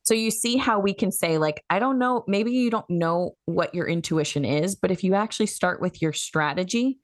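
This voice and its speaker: A woman's voice: on the low side, normal speed, dry recording, clean studio sound. A woman who works as a human design coach, explaining her methods as a podcast guest in a coaching tone. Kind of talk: podcast interview.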